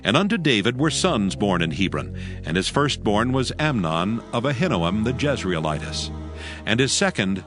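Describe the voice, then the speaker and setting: A man reading scripture as a scripted audio Bible narrator, solemn and with measured phrasing. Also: fairly low pitch, big pitch swings. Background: background music.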